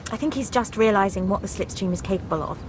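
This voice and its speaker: An actress playing an earnest, sharp-minded young British woman in a radio drama, reasoning insistently. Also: medium pitch, fast talker, background noise, lightly acted.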